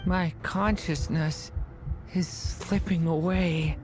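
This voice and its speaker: A woman playing a weak, confused young boy in a video game, speaking in a halting, plaintive way. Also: fairly low pitch, fairly even intonation. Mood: weak, fading. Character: weak, confused, frightened young boy made by magic, Durin. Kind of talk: dramatic video game voice acting. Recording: loud music behind the voice. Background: music.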